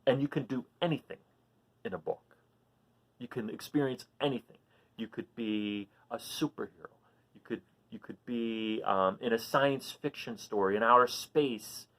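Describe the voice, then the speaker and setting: Animated man reading aloud for children. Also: medium pitch. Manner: expressive, explains simply to young listeners. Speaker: children's read-aloud host. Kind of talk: animated storybook reading and casual chat to children.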